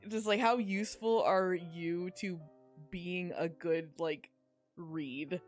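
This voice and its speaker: A woman's voice: lowish voice, fairly even intonation, slow. A woman chatting casually as a podcast co-host, making wry, jokey, rambling commentary over a video game. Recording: game music playing underneath.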